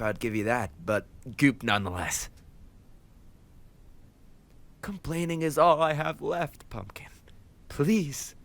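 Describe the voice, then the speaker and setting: A tough gang leader boyfriend played by a man talks in a fairly low voice to his sweetheart. He is playful and fond, teasing and mock-complaining with casual swearing. It is an intimate romantic audio roleplay, with his soft side showing. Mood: playful grumbling.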